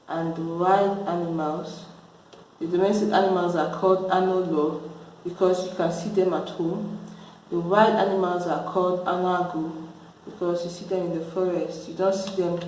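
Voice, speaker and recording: A middle-aged woman from Nsukka with a Nigerian Igbo accent, teaching vocabulary and repeating each word slowly and deliberately. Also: on the low side, a little mellow, a little hiss or hum. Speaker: language teacher. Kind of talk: slow vocabulary lesson with repetition. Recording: very echoey room.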